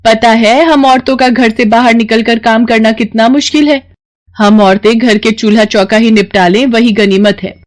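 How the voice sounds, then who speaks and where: A woman's voice: a little high, fairly even intonation, moderate pace, lightly acted. Woman playing a nagging older mother-in-law, cartoon, taunting tone. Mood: scolding. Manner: taunting, scolding. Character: scolding older mother-in-law, sharp-tongued. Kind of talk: cartoon voice acting.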